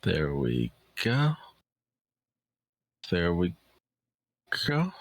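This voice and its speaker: A man's voice: deep voice, wildly swooping pitch, very slow, no room sound, studio quality. A man hosting a casual livestream, chatting in a playful, informal, bantering way. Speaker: livestream host introducing a guest.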